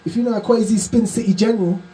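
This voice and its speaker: A male radio show host talking live, excitable and exclamatory, often repeating his phrases. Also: high voice, quick pace, background noise.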